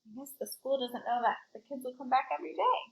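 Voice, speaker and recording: A woman reading a storybook aloud in an expressive, child-directed way, with chatty asides to her young listeners. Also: high voice, fast, vocal fry, roomy sound, poor audio. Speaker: school librarian reading aloud.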